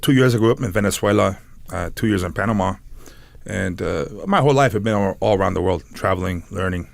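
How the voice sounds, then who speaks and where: A man's voice: low voice, quick pace, creaky, no echo. A man in his forties from San Diego, a podcast guest telling stories casually, rambling and laughing between phrases. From San Diego, United States. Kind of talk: casual storytelling.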